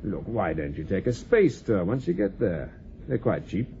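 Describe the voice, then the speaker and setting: An actor playing a brisk, officious, matter-of-fact spaceship officer in a radio drama. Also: male voice, lowish voice, huge pitch swings, creaky, heavily acted. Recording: phone-like narrow band, faint noise.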